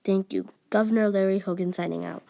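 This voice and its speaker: Halting medium-pitched woman reading emergency announcements. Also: steady tone, gravelly, dark tone. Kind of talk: mock emergency news announcements.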